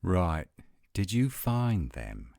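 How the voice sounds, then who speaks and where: Low-voiced, testy werewolf played by a man, swinging intonation. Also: very animated, very slow, darkish tone, no echo, clean studio sound, exaggerated voice. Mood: tense, urgent. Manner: terse, challenging, emphatic. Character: testy, headstrong male werewolf, protective of his pack. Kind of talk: audio roleplay drama acting.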